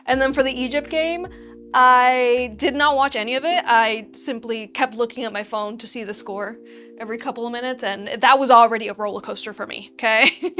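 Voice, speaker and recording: A young woman with a fairly high voice hosts a relaxed livestream and chats straight to her viewers. She teases herself and laughs a lot between thoughts. Soft music plays faintly behind her. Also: fairly quick. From Argentina. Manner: chatty, self-teasing, laughs often. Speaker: livestream host. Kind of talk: casual livestream chat with viewers.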